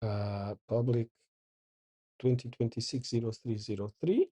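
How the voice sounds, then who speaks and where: Middle-aged man host, husky and unhurried, talking himself through commands. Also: mid-range voice, dark tone. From Porto, Portugal. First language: Portuguese. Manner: thinks aloud while reading out commands. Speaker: channel host. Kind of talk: casual live coding commentary.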